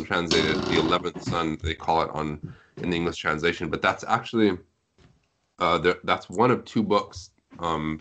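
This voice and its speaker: Man, very low voice, talkative, explanatory, casual podcast guest. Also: fairly bright tone, slight background noise. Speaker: guest on a podcast, web novel translator.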